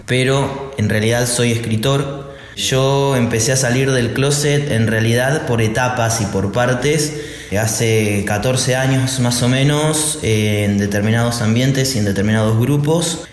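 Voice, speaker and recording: A man in his thirties from Argentina with an Argentine accent, recounting his story as a guest in a thoughtful, halting way. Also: mid-range voice, slight background noise. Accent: Argentine Spanish. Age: 30s. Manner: thoughtful, halting, uneven pace. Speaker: interview guest. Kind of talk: personal storytelling in an interview. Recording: echoey room.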